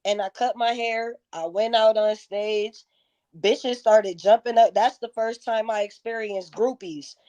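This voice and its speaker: A woman's voice: fairly high pitch, fairly even intonation, measured pace, mellow, highs cut off. A woman in her thirties telling stories candidly in a casual interview, with slangy, profane, emphatic phrasing. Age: 30s. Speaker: interview guest, music performer.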